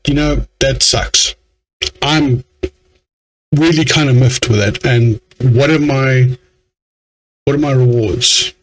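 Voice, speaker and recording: A man's voice: medium pitch, a bit bright, rough recording. A man giving let's play commentary in an exasperated, grumbling way. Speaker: game streamer. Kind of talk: let's play commentary, reading game dialogue aloud.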